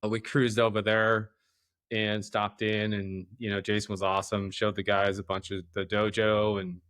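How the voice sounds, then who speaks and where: A man hosts a casual interview podcast in a fairly low voice. The sound is dry, with very little room in it. He phrases things loosely and informally, like everyday chat. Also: level tone, moderate pace, fairly bright tone, dry recording. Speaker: podcast host.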